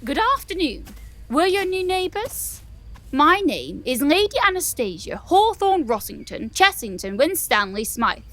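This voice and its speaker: Snobbish titled lady: female, shrill-high, theatrical swoops, pompous panto grandeur. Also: very animated, unhurried, fairly bright tone, heavily acted. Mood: haughty. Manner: pompous, haughty, over-enunciated.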